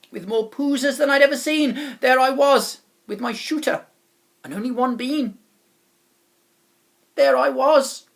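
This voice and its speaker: A woman's voice: sits high, vocal fry. A woman reading a rhyming children's story aloud in a dramatic, emphatic manner. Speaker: storybook reader. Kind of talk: expressive rhymed storybook narration for children.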